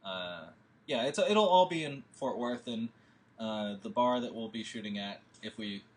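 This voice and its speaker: A man talks casually about a film shoot, his voice sitting at an ordinary middle pitch. He rambles and hesitates, trailing off and restarting his thoughts. The delivery is loose and conversational. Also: animated, a little slow. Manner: rambling, hesitant, many fillers. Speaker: filmmaker leading a table read. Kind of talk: casual discussion.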